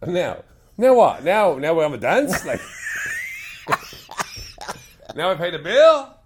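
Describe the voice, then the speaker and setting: Animated Irish man, sweary storyteller. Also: sits high, slow pace, noisy background. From Ireland. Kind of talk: casual chat.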